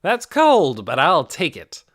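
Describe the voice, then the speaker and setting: A cocky, jokey male adventurer acted by a man, pitched high and swinging all over. He boasts breezily and flirts like a show-off in a fantasy audiobook. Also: wildly swooping pitch, slow pace, a bit bright, no echo, clean studio sound, full character voice. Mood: cheerfully wounded. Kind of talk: audiobook character voice.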